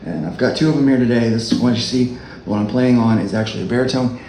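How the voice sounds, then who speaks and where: A man with a low voice giving a relaxed, halting dulcimer lesson as a worship leader. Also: roomy sound, a little hiss or hum, poor audio. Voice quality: low speaking voice. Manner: unhurried, halting explanation. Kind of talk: casual music tutorial.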